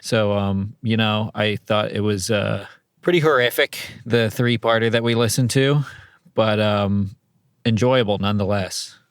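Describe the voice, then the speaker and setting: Male co-host, low creaky voice, laid-back and halting in casual podcast chat. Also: no room sound, clean studio sound.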